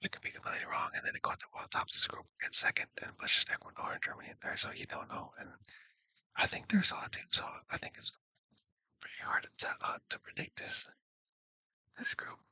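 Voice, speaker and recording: A man speaking in a breathy whisper, giving casual, rambling sports predictions and often correcting himself. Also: fairly quick, pushed and bright, no room sound, low-quality recording. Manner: hesitant, rambling, self-correcting. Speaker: sports video creator.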